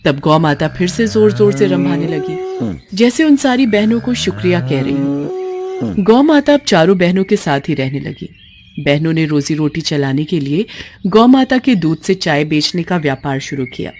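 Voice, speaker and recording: A woman narrating a scripted moral story in an expressive, emotive, dramatic way. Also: on the low side, normal speed, noisy background.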